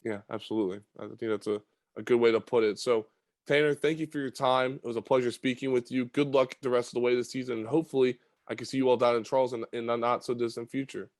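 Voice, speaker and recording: A man hosts a basketball podcast with a mid-pitched voice. His long questions wander and lean on fillers. The sound is dry and close. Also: fairly even intonation, quick pace, no room sound. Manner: chatty, filler-heavy questions. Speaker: podcast host. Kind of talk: sports interview podcast.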